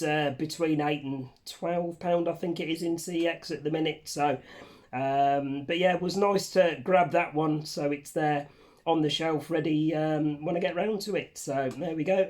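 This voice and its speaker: A man with a hoarse, croaky voice from a cold, chatting casually to camera as a vlog host in a room at home. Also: fairly high pitch, a little mellow. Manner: chatty, rambling, casually swearing. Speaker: channel host. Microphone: facing camera under a ring light.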